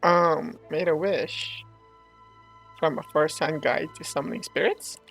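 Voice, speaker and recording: A man's voice: fairly high pitch, a little slow, gravelly, a bit bright, soft background music, poor audio. A man doing casual, incredulous, bantering commentary as a gaming streamer, close on the mic.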